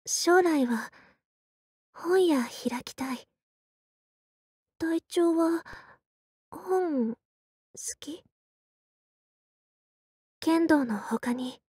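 An actress playing a quiet, reserved young female soldier in a game, soft-spoken and deadpan, speaking in short halting phrases. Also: sits high, no room sound, studio quality. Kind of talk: Japanese game character voice lines.

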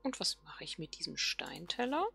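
Woman, low-ish husky voice, unhurried, casual gameplay commentary, murmuring to herself. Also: very animated, sharp tone. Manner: musing, murmuring questions to herself. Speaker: let's play host.

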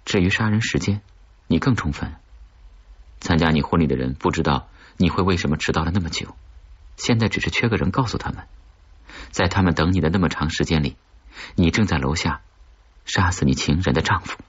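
A man voicing a cold, scheming blackmailer in an audiobook thriller, speaking low, distinctly and with deliberate menace. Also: breathy edge. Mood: cold threat. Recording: dull, muffled lo-fi audio.

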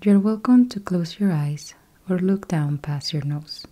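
A woman guiding a yoga nidra meditation in a soft, breathy, husky voice, calm and gentle, leaving long pauses between short instructions. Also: on the low side. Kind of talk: guided meditation, slow instructional.

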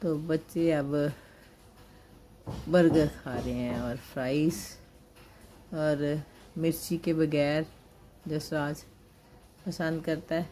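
Slow, low-voiced older woman vlogging. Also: steady tone, dark tone, slight background noise. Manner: slow, homely commentary on family outing. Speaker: vlogger, grandmother. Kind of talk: casual vlog narration. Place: busy indoor shopping mall. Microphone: handheld phone camera.

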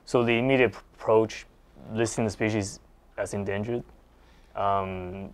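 A man's voice: on the low side, steady tone, moderate pace. A man in his forties hosting a casual conversation in an office, agreeable and often repeating his words. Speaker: interviewer, host. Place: small office, indoors.

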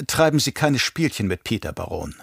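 A man's voice: fairly low pitch, big pitch swings, normal speed, gravelly, slightly rough, no echo, studio quality, heavily acted. A man playing a sardonic, resentful advisor to a villain in an audiobook, snide, clipped and muttering. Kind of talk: audiobook character acting.

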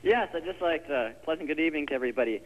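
Male phone-in caller, quick pace, slightly high voice, echoing line, old broadcast. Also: animated, highs cut off. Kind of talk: prank call to a live show.

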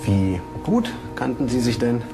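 A man acting a brisk, probing police detective in a crime radio play, questioning in a matter-of-fact manner. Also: medium pitch, big pitch swings, over music. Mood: probing, calm.

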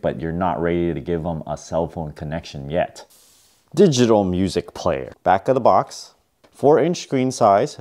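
Casting: middle-aged man, deep relaxed voice, matter-of-fact tech reviewer listing features plainly. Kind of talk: product review narration.

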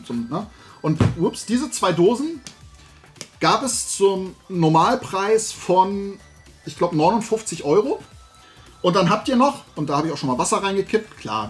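Male YouTube host, forties, slightly high voice, casual rambling delivery with emphatic phrasing, music behind. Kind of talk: casual chat to camera, product haul. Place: indoors, small collection room lined with shelves.

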